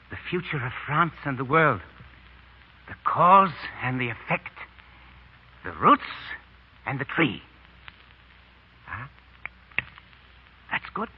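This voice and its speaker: An actor playing a fervent, gruff, aging French novelist in a theatrical 1930s radio drama style. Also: male voice, medium pitch. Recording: muffled, noisy 1930s radio recording.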